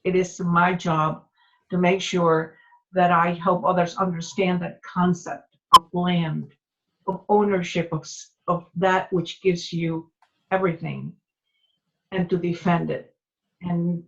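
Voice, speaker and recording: A woman gives an earnest impromptu speech in a halting way. Her pitch barely moves and her pace is slow, on a muffled lo-fi recording. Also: level tone, creaky. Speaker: club member giving an impromptu speech. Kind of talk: impromptu public speaking. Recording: dull lo-fi audio.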